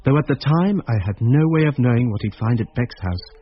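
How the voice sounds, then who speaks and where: Troubled, introspective male narrator, actor; radio drama, medium pitch, muffled broadcast. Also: animated, brisk, a little hiss or hum, lightly acted. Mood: brooding, uneasy. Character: troubled, reflective man narrating his own story.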